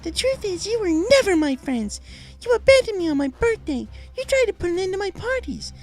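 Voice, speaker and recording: A woman voicing a deranged, murderous female pony in a shrill, theatrical manner for a dramatic story reading. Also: very animated, fairly quick, a little mellow, faint music behind, rough recording. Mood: bitter menace. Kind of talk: dramatic fan fiction audio reading.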